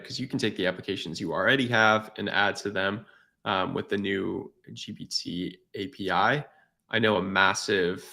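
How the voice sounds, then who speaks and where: Upbeat guy co-hosting a stream, gravelly lowish voice, bright sound. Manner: upbeat, agreeable. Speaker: livestream co-host. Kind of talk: casual livestream chat.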